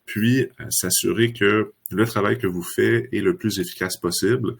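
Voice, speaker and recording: A man, a customer success manager calmly explaining a platform during a webinar. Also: on the low side, moderate pace, creaky, slightly rough. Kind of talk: webinar presentation.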